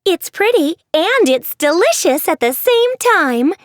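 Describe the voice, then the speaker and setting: A woman's voice: very high-pitched, big pitch swings, unhurried, no room sound, clean studio sound, pitched above the performer's own voice, heavily acted. Maddie, a squealing giddy girl as a woman reads her. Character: zany excitable young girl, playful show-off.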